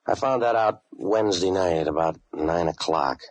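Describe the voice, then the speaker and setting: Very deep, husky hard-boiled radio detective. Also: male voice, normal speed, dry recording. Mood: deadpan, wry. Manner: deadpan, wisecracking, world-weary. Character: cynical, tough male waterfront boat renter for hire. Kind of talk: 1940s hard-boiled radio detective acting, first-person narration.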